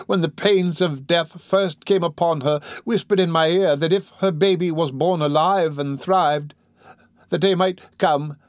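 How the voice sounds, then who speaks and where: A man's voice: a little high, no room sound, clean studio sound, voice pushed up, lightly acted. A man voicing a dying old pauper woman who rambles in guilt, groaning and agitated, in a storybook reading.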